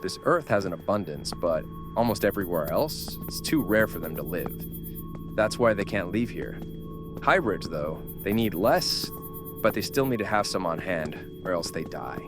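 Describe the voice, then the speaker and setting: Man acting gruff seasoned survivor, low voice, world-weary and commanding, horror audio drama, faint music behind. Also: sing-song, normal speed. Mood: wary.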